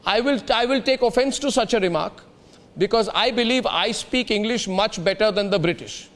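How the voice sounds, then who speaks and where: A man's voice: high-pitched, normal speed. A man with an Indian accent hosting a heated TV news debate, speaking combatively and insistently, repeating himself and hammering rhetorical questions. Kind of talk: heated political debate and commentary.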